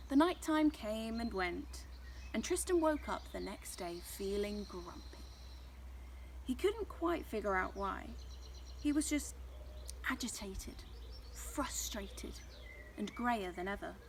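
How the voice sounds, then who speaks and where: A woman's voice: medium pitch, sing-song, slow, creaky, pauses often. Woman reading a children's story, noisy background. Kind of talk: scripted children's story narration.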